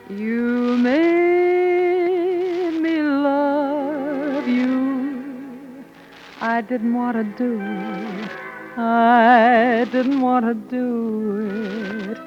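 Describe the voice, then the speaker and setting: Slow-singing woman on old radio. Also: on the high side, over music. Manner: sings slow, drawn-out ballads. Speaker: singer on a radio variety show. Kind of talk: 1940s radio banter and torch singing. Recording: muffled 1940s radio broadcast with orchestra.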